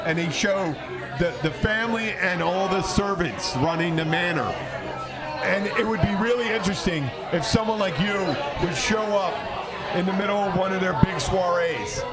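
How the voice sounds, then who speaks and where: Male host, high-pitched, loose rambling banter, heavy crowd chatter around him. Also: normal speed. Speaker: podcast host. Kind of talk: casual bantering chat. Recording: loud crowd chatter, outdoor urban spot.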